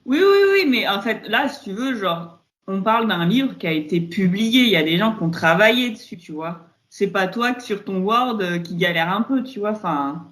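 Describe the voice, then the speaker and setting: A woman co-hosting a podcast in casual chat, blunt and quick to swear. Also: mid-range voice, animated, a bit bright. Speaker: podcast co-host.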